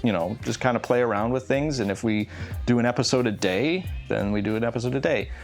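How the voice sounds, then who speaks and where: A man's voice: mid-range voice, fast talker, faint music behind. A man on a video crew giving casual behind-the-scenes commentary.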